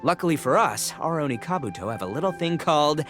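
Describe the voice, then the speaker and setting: A man's voice: fairly high pitch, big pitch swings, quick pace, fairly bright tone, soft background music. A man playing a brash, cocky young oni gang boss in an anime game, bombastic and theatrical in delivery. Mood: hyped up.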